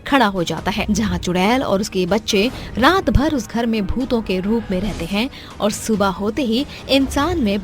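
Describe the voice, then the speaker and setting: Woman narrating horror tales over music. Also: mid-range voice. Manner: dramatic storytelling. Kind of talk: scripted horror story narration.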